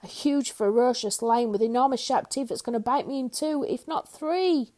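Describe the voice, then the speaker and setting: A woman's voice: a little high, normal speed, darkish tone, pitched above the performer's own voice, natural voice. A woman voicing a nervous, jumpy little man who shrieks and stammers in melodramatic panic in a storybook reading. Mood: panicky.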